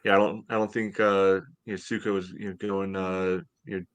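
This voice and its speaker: Low-voiced American man, halting delivery. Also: flat delivery, clean tone. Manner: hesitant, halting delivery, heavy use of "you know". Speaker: sports podcast host. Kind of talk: casual sports discussion. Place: home room, indoors, on a video call. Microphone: headset on video call.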